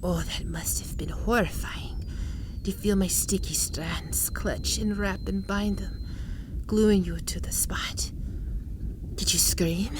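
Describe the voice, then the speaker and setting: Woman doing a spider monster lady, seductive and cruel, slow close whispers, hissy mic. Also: medium pitch. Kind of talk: ASMR roleplay.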